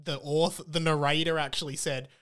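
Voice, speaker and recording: A man's voice: fairly high pitch, flat delivery, fairly quick, a bit bright, smooth. A man with an Australian accent in casual, comedic conversation as a podcast co-host. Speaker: podcast co-host and author of the book.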